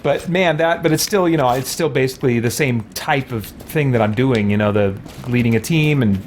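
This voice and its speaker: A man's voice: medium pitch, normal speed, slight background noise. A man giving casual, rambling commentary as he streams a video game. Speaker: streamer and host of a game let's-play.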